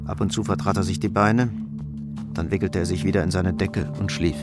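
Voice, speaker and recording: A man's voice: deep voice, fairly even intonation, brisk, close to an everyday voice. A man narrating a crime radio drama in a sober, scripted storytelling style. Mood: sober, suspenseful. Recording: studio radio drama under loud music. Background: loud music.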